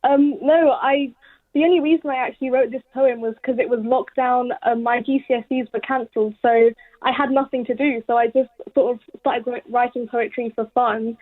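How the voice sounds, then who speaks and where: A woman's voice: on the high side, steady tone, moderate pace, no room sound. A seventeen-year-old young woman with a British accent, answering interview questions conversationally. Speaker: interview guest, young poet. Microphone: remote call to radio studio. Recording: dull phone-like line.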